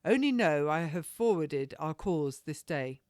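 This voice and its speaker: A woman's voice: low-pitched, expressive, measured pace, darkish tone, no echo, clean studio sound. A woman voicing a cocky, evasive male captain from a period storybook, with flowery formal speech. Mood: firm, evasive.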